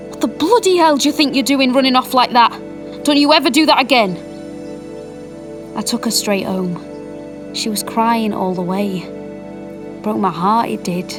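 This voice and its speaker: A wistful British mother played by a woman tells her memories in an audio play. Her voice is mid-pitched and her way of talking colloquial and confiding, with music clearly behind it. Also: close to an everyday voice. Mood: agitated, then tender, regretful. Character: wistful, proud mother recalling her daughter. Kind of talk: naturalistic audio drama monologue.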